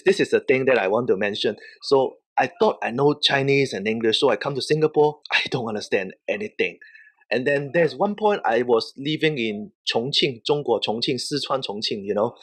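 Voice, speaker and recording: A man's voice: mid-range voice, expressive, moderate pace, husky. Casual man with a Hong Kong accent. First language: Cantonese. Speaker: professional wrestler, interview guest. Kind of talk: casual storytelling.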